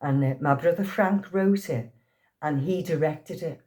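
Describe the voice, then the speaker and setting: Low-voiced Liverpool woman in her sixties. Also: measured pace. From Liverpool, England. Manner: chatty, laughs often, warm anecdotes. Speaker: actress being interviewed. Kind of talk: casual storytelling interview.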